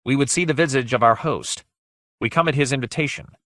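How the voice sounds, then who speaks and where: A man's voice: medium pitch, a little fast, fairly bright tone, dry recording, voice pushed up, lightly acted. A man acting a bold, defiant male adventurer in a fantasy story, speaking in a proud, formal, archaic and declamatory way. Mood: challenging, commanding.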